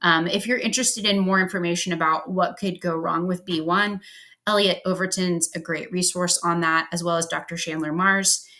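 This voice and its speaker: A woman's voice: on the low side, steady tone, moderate pace, sharp tone. A woman from Pennsylvania giving a casual, conversational lecture on health science over slides, with frequent ums and likes. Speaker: health educator. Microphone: home recording.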